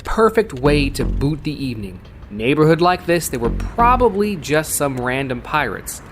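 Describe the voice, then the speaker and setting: A man's voice: fairly high pitch, big pitch swings, moderate pace, soft background music. A man playing a jaded, wry cyberpunk courier, narrating a gritty first-person audio drama in a world-weary, sardonic manner. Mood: wry, wary.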